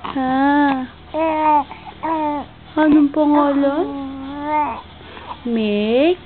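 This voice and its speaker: A woman's voice: high-pitched, drawn-out words. A Filipino woman talking to her baby in playful, sing-song baby talk, cooing and repeating teasing little questions. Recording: tinny, phone-like home recording.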